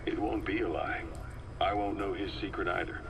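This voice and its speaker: Man acting low-voiced calculating spy, noisy tape. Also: fairly even intonation, close to an everyday voice. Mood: matter-of-fact. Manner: measured, deliberate, cryptic. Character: shrewd middle-aged male spy and hypnotist, loyal, calculating. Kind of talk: scripted video game voice acting on a cassette tape.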